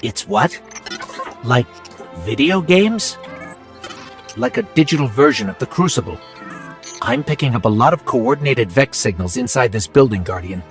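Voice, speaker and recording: A man's voice: medium pitch, sing-song, normal speed, long pauses, faint music behind. A man voicing a small robotic game companion, earnest, curious and a little anxious, talking in a chatty, questioning way. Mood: alert, businesslike. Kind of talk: scripted game dialogue.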